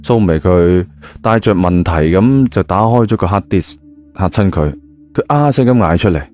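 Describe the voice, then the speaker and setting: A low-voiced man narrates an audio novel in colloquial Hong Kong Cantonese. His tone is dark and mellow, with faint music behind him. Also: lively intonation, moderate pace. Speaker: storyteller.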